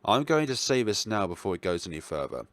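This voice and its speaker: A man's voice: lowish voice, fairly quick, no echo. A man hosting a let's play, reading a visual novel aloud expressively with casual commentary of his own. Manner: expressive, acts out dialogue, chuckles and stumbles over words.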